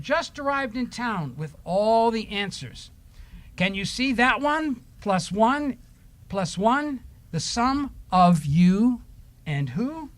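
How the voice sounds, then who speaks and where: Man, very slow pace, children's story time, simple questions, reading aloud. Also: high-pitched. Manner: asks simple questions and gently repeats answers. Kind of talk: talking to children and reading short letters aloud. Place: church service.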